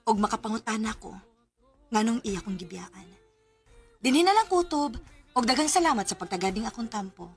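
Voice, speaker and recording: A woman's voice: on the high side, sing-song, brisk, pauses often. An actress playing a young, sentimental provincial woman wary of love in a Cebuano radio drama, with a Filipino accent and music behind her. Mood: wistful narrating.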